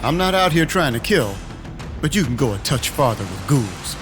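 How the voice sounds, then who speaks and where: A man's voice: mid-range voice, very animated, moderate pace, natural voice. Man acting a brooding, sardonic vampire detective over music. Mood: wry, grim narration. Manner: wry, controlled, menacing politeness. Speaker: narrator and lead character. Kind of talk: first-person noir-style audio drama narration.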